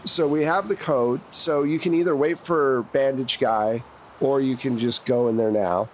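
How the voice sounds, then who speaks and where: A man's voice: medium pitch, normal speed, a little mellow, slight background noise, poor audio. A man, narrating conversationally as the game master of a tabletop game.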